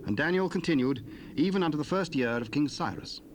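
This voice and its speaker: A man's voice: a little high, animated. Man reading scripture on old cassette. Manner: measured, solemn reading. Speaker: narrator. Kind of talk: scripted Bible narration. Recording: hissy old cassette tape.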